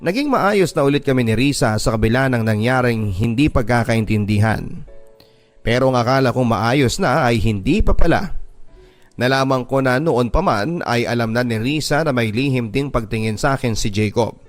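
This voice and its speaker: A man's voice: medium pitch, moderate pace. A man with a Filipino accent narrating a first-person story as a scripted radio drama. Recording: music behind the voice. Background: background music.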